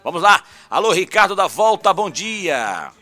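Male morning radio host, Bahian accent, highish bright voice with slight hoarseness, chatty and colloquial. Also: sing-song, a little slow, faint music behind. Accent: Northeastern Brazilian, Bahia. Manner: colloquial, seeks agreement with tag questions. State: slightly hoarse from a recent virus. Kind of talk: casual live morning radio chat with listeners.

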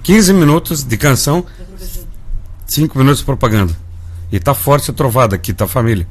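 A man's voice: mid-range voice, fairly quick, breathy edge. A Brazilian man hosting a live gospel radio show, folksy and calling his listeners family. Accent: Brazilian Portuguese. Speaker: radio host.

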